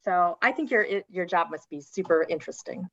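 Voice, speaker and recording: A woman's voice: fairly low pitch, lively intonation, a little fast, a bit bright, no room sound. Woman host, dull lo-fi sound. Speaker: interview host. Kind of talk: casual panel hosting. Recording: dull lo-fi audio.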